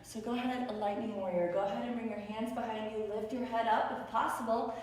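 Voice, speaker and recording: A raspy-voiced woman leads a beginner yoga class with playful, encouraging cues, sounding tired after many classes. Her voice comes from well away from the mic. It rings through a very echoey room with a long, trailing reverb. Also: a little high, steady tone, fairly quick, darkish tone, far from the mic, a little hiss or hum. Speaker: yoga instructor. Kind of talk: guided yoga class instruction.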